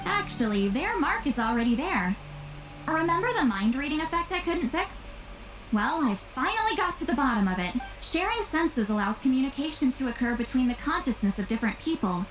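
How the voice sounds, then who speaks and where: An aloof, ageless shaman woman from a fantasy game. Her high voice explains things with dry, wistful reserve. It rings in a roomy echo with game music clearly behind it. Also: very animated, a little fast. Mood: explaining. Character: aloof, reclusive two-hundred-year-old shaman woman with youthful looks. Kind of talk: scripted video game voice acting.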